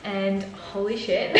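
A chatty young woman talks to her camera with easy giggles. Her voice sits at an ordinary pitch. The room around her rings a little. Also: fairly bright tone, roomy sound. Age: 20s. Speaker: travel vlogger. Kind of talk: casual vlog chat to camera. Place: holiday rental house, indoors. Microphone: handheld camera at arm's length.

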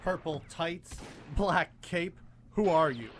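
A slow, emphatic gunman acted by a man from an amateur radio play. The words are drawn out, over faint noise and effects. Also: a little high, expressive, a bit bright. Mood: mocking. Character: tough mocking gunman who grows awed and frightened. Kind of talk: student live radio theatre acting. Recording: noisy live radio play with gunfire effects.

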